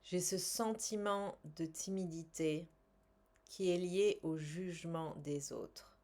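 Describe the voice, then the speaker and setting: Woman, lowish voice, very unhurried pace, instructional, short repeated phrases, guided tapping coach. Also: darkish tone. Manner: measured, instructional, with pauses between short phrases. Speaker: tapping coach and language teacher.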